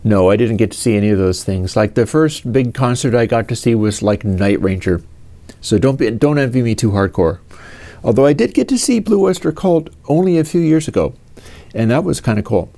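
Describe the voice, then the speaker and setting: A man's voice: medium pitch, animated, brisk, warm tone. A man in his fifties from the United States, answering viewer questions in a casual, rambling way with many fillers and restarts. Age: 50s.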